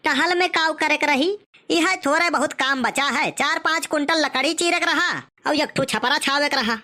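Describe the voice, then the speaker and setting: A man's voice: very high-pitched, moderate pace, bright, forceful tone, full character voice. A man voicing a sly, stingy village host in a comic cartoon, with an Awadhi accent and mock-polite excuses. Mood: sly, bossy.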